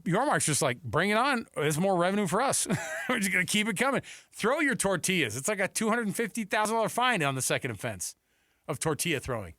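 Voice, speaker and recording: A man hosting a sports talk radio show, telling stories and bantering in an animated, emphatic way. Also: high-pitched, brisk, dry recording, studio quality. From Texas and Seattle. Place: radio studio. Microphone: close studio microphone.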